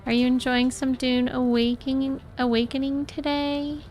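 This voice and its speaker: A woman's voice: high voice, little pitch movement, normal speed, a little mellow, soft background music. An American woman from Florida giving casual live gameplay commentary, chatting with viewers as a streamer. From Florida, USA. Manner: chatty, greets and thanks viewers. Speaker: live game streamer and host.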